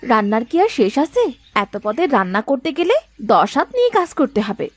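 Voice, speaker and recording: A woman voicing a dutiful, chatty young daughter-in-law in a cartoon family drama, expressive and fondly chiding. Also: fairly high pitch, fairly quick, a little hiss or hum.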